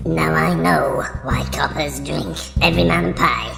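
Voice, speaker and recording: Echo-drenched little robot hoodlum, very creaky, noir narration for audio comedy, music underneath. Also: female voice, sits low, unhurried, full character voice. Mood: world-weary. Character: small low-life robot hoodlum narrating, film-noir gangster. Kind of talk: hard-boiled scripted narration in a comedy audio drama. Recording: echoey hall reverb, music behind.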